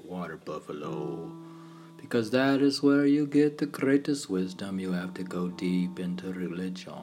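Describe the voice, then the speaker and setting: A man reading his own poems aloud in a halting, meditative way, with informal asides. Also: on the low side, a little mellow.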